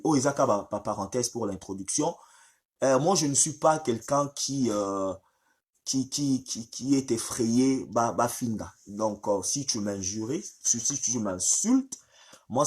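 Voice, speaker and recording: A man with a head cold, hosting a casual live stream in a halting way with many fillers. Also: medium pitch, slightly rough.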